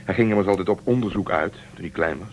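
A man playing a worried, serious crewman in a science fiction radio play. He speaks low and measured, in a slightly muffled recording with a faint hum. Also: close to an everyday voice. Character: grave, worried male spaceship crew member. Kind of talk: Dutch radio drama acting.

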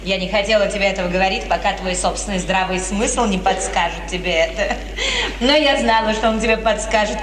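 A woman's voice: mid-range voice, lightly acted. An actress playing a middle-aged, worldly mother who counsels her daughter with emphatic, persuasive, theatrical delivery in a 1950s radio tragedy. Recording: old hissy radio recording, slightly distant.

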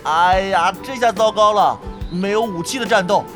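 An actor playing a reckless, loyal, none-too-bright young male brawler with a bombastic, mock-heroic manner in a radio drama. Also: high-pitched, moderate pace, natural voice. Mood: mock-tragic. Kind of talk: radio drama comic acting. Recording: music bed behind voice.

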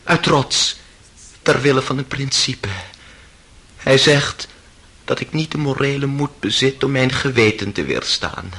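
A man's voice: mid-range voice, a little slow, sharp tone, husky, exaggerated voice. An actor playing a bitter, tormented intellectual man in a radio play, sardonic and theatrical, brooding and given to outbursts. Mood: cynical, brooding. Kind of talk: dramatic radio play acting. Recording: noisy old radio play recording.

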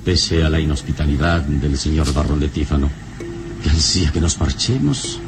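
An actor playing a wise, calm, heroic adventurer in a solemn, measured, theatrical radio-serial style. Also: male voice, extremely low pitch, over music. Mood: mysterious, resolute. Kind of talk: old radio serial acting.

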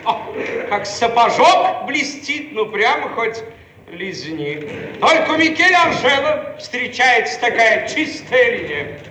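An actor playing a servile, gushing male painter who flatters obsequiously in a theatrical satirical radio comedy. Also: very high-pitched, slow, exaggerated voice. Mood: fawning, rapturous. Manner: obsequious, effusive, exclamatory. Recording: echoey, noisy archival radio recording.

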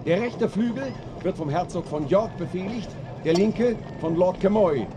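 Guy playing english army officer, radio drama, high voice, precise briefing, noisy outdoors. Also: normal speed, natural voice. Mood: matter-of-fact. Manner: precise, military, matter-of-fact. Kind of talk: radio play field report. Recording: clear outdoor ambient noise.

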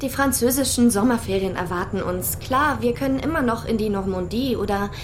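Actress as a young woman traveller in a radio play, bit high, uneasy, slight noise behind. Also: fairly quick, natural voice. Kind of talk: audio drama dialogue.

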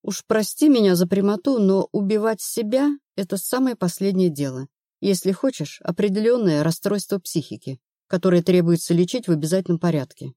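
Lady narrator doing a no-nonsense woman detective in a novel, rational and sure, mellow, dry sound. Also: mid-range voice, lively intonation, a little fast, dry recording, close to an everyday voice. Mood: blunt. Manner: matter-of-fact, assured. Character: brisk, rational, blunt female investigator advising a friend.

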